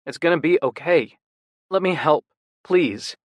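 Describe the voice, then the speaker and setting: A man's voice: on the high side, a little slow, dry recording, pitched above the performer's own voice. A man voicing a frantic worried younger brother. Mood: worried pleading. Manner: urgent, pleading, swears when upset.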